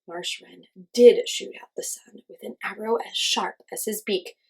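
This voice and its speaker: A woman reading a children's story aloud as host, in an expressive storytelling style. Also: mid-range voice, a little slow, vocal fry, breathy edge.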